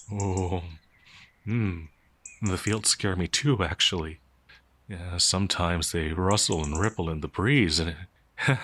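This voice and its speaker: Timid werewolf outlaw, male performer: low register, unhurried, flustered and hesitant, soft-natured, fantasy audio roleplay. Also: heavily acted. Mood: timid, confiding. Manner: hesitant, stammering, apologetic, flustered. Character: gentle, timid, anxious male werewolf outlaw, easily frightened.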